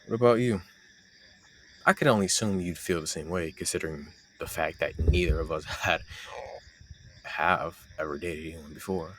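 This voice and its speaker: A man playing a shy, teasing young man secretly in love with his friend, in an intimate audio roleplay with a halting delivery. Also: deep voice, fairly bright tone, a little hiss or hum. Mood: wistful, musing.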